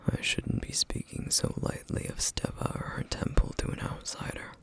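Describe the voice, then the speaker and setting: A man playing a gentle, duty-bound flame demon in an ASMR roleplay, speaking softly in slow, formal, wistful phrases with a rough, breathy voice. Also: natural voice. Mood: wistful, reverent. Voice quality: breathy, whispery, rough, gravelly. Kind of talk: ASMR roleplay, intimate soft-spoken monologue.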